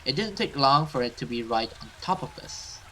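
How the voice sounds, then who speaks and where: A man's voice: medium pitch, lively intonation, moderate pace, bright, forceful tone, a little hiss or hum, low-quality recording. A man hosting a let's play, reading a horror visual novel aloud and stumbling over some words as he goes.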